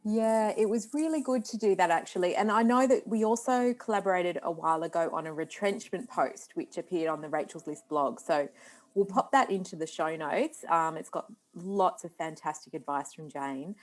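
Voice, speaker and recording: A woman hosts a podcast and puts questions to a guest. Her voice sits slightly low, and she frames long, appreciative questions. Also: moderate pace. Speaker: podcast host. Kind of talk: interview questions.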